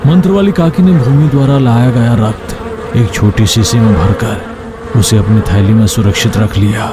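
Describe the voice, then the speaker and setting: A man narrating an animated folk tale as scripted, dramatic storytelling. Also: on the low side, normal speed, background noise.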